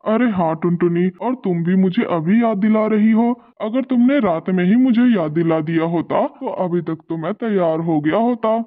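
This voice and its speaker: A man voicing a touchy, dutiful young husband pigeon in a Hindi cartoon story, expressive and quick to complain. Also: high voice, phone-quality sound, natural voice. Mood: mildly defensive.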